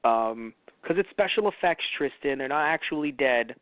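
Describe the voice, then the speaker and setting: A man from New York with lively, swooping intonation chats as a review show co-host. He rambles comically, plays up his complaints and slips into mock quotes. The sound is dry and close. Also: medium pitch, normal speed, dry recording, phone-quality sound. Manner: rambling, jokingly whiny, acts out mock quotes. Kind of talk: casual comic banter and film review.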